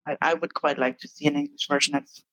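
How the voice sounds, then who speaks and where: A woman from Germany, whose first language is German, answering as a book co-author in a measured way, with frequent um fillers. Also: sits low, fairly even intonation, fairly bright tone, no room sound, rough recording. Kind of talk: podcast interview answers.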